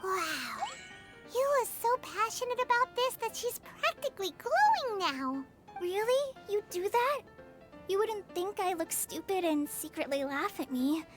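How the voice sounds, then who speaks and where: A woman playing an earnest, self-conscious young girl in a fantasy video game, with a hesitant, expressive delivery. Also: very high voice, unhurried, music in the background, full character voice. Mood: flustered, hopeful.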